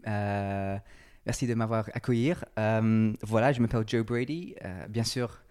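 A man's voice: on the low side, fairly even intonation, measured pace, darkish tone, clean tone. A man with an English accent, a fitness coach interviewed in French, speaking hesitantly with many fillers and restarts. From England. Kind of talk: casual interview, halting French.

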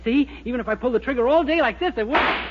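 An American actor playing a naive young husband in a 1940s radio comedy, blithe and matter-of-fact about grim things, on an old recording. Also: male voice, very high voice, fast talker, muffled recording, heavy background noise. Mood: reassuring. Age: young adult. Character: naive young husband feigning suicidal gloom, cheerfully morbid.

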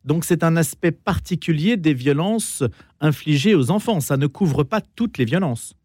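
A man interviewing a guest, asking measured, precise questions. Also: a little high, lively intonation, darkish tone, slightly rough, no room sound, clean studio sound.

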